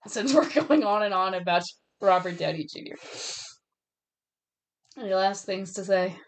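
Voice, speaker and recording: A woman's voice: medium pitch, measured pace. A woman co-hosting a podcast in a casual, conversational and opinionated way as she discusses films. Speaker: podcast co-host. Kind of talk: casual film discussion chat.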